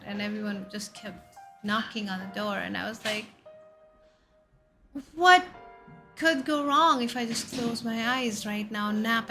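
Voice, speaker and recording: Woman, 30s, Indian accent, VTuber host; unhurried, bright and chatty, soft music bed. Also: medium pitch. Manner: chatty, jumps between questions to chat, loud exclamations. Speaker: VTuber streamer. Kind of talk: casual chat reading viewer messages. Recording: faint background music under voice.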